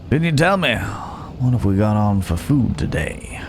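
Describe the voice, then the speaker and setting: A man's voice: lowish voice, big pitch swings, background noise. A man playing a roguish, genteel Wild West stranger in an ASMR roleplay, wry and musing, speaking straight to the listener. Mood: polite, cheery. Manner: unhurried, wry, musing, with drawn-out pauses.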